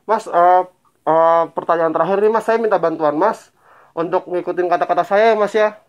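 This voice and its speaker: A man's voice: high-pitched, moderate pace, muffled recording. A young man asking questions in a polite, probing way, posing as a phone interviewer for a prank.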